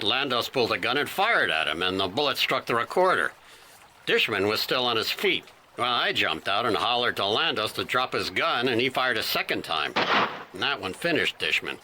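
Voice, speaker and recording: Cagey police detective, low voice swinging all over, bright, folksy bluster, radio drama. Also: male voice, slight background noise, full character voice, rough recording. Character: defensive, cagey, gruff male police detective.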